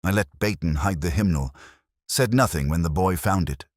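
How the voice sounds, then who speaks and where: A man's voice: deep voice, normal speed, no echo, clean studio sound, pitched above the performer's own voice. A man voicing a guilty, hopeless confessor in a mystery story. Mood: desperate. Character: panicked, guilt-ridden confessor in a parish scandal.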